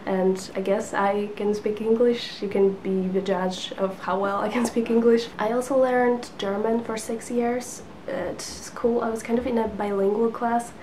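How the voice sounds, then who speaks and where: A young woman whose first language is Polish talks to the camera from a living-room couch, rambling easily with lots of "like" and small giggles. Her voice sits a little high, and a faint hiss runs under the home recording. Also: steady tone, unhurried, few pauses, warm tone, poor audio. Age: 20s. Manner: chatty, giggly, lots of fillers. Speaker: book vlogger. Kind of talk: casual chat to camera. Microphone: camera in front at sitting distance.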